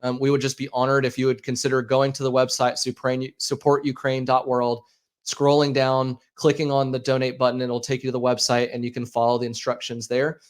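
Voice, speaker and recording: American guy in his 30s hosting a stream, earnest and halting, kinda monotone, lots of ums. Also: medium pitch. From United States. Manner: earnest, halting, many fillers and repeats. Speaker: livestream host. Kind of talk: unscripted appeal to viewers. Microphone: speaking into a microphone.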